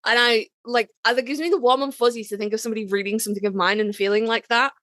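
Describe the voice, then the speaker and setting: A woman's voice: on the high side, steady tone, fast talker, fairly bright tone, dry recording, studio quality. A woman chatting casually in a self-mocking way, acting out imagined remarks as she goes. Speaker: podcast participant, fanfiction writer. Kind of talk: casual chat.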